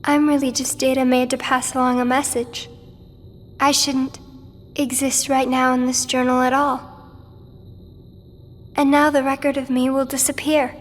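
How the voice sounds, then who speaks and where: A woman voicing a gentle, wistful teenage girl in a video game cutscene, speaking softly and earnestly. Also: high-pitched, level tone, unhurried, pauses often, slight background noise. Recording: game audio over loud music. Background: music.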